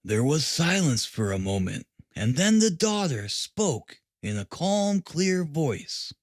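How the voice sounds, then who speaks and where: A man narrates classic detective fiction in a fairly high, animated voice. The sound is close and dry, with expressive rises and falls. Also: slow, no room sound. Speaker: audiobook narrator.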